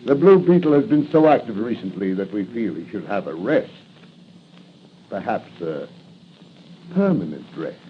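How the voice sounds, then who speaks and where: An actor playing a sinister, gloating masked killer in a theatrical 1940s radio drama style. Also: male voice, on the low side, sing-song, normal speed, pauses often. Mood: gloating menace. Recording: dull, noisy 1940 radio recording.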